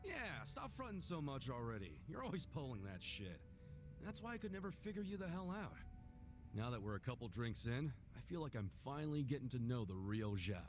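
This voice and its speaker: An actor playing an earnest, blunt, big-hearted tough-guy hero in a video game, with a gruff, hearty voice. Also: medium pitch, very animated, fairly quick, over music, close to an everyday voice. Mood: warm, tipsy. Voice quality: gruff, gravelly. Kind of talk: video game cutscene acting.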